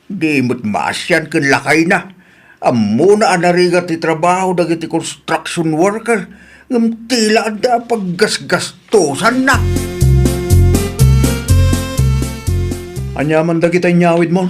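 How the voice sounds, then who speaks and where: High-pitched grumbling Ilocano husband. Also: male voice, expressive, measured pace, soft background music. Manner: grumbling, exasperated. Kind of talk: Ilocano comedy radio drama acting.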